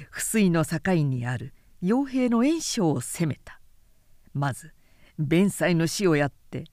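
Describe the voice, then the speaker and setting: A woman reading a historical novel aloud as an audiobook narrator, in a measured, formal storytelling manner. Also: on the low side, a little mellow, no room sound, studio quality.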